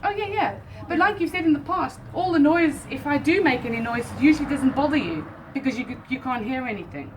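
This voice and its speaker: A woman at her front door, arguing firmly and loudly with a neighbour, caught on a phone held in her hand. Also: high voice, lively intonation, fast, few pauses. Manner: loud, firm, insistent. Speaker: homeowner doing building work. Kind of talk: heated doorstep argument. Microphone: phone held in hand, pointed away. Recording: distant phone recording, faint street noise.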